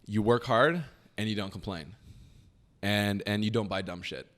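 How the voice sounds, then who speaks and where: A young man chatting casually as a podcast guest, rambling with lots of fillers and trailing off quietly. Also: lowish voice, moderate pace. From Canada. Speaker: podcast guest, business founder. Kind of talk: casual conversation.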